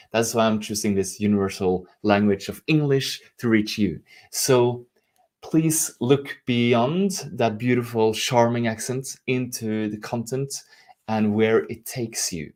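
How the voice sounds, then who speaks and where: A man's voice: fairly low pitch, darkish tone. A middle-aged man from Norway with a Norwegian accent, giving an earnest, self-teasing motivational talk as a leadership coach.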